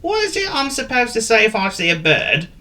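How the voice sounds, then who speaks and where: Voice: mid-range voice, huge pitch swings, brisk, bright, forceful tone, voice pushed up, full character voice. A performer voicing a forgetful, silly, cheerful male dog in a children's storybook, hesitant and given to chortling. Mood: puzzled, polite.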